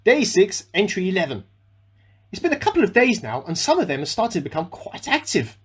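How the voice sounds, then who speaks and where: A man acts out an eccentric amateur scientist in a radio play. His voice is fairly high and very lively in pitch, with a slightly husky edge. He speaks his diary entries earnestly and with some self-importance, musing aloud as he goes. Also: a little fast, full character voice. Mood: pleased, expectant.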